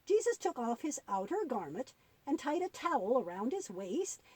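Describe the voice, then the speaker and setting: Woman doing high voiced old granny puppet, patient, telling kids bible stories, kinda halting, very up and down. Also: slightly rough, no room sound, exaggerated voice, poor audio. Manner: halting, gently instructive storytelling. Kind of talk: puppet-show storytelling for children.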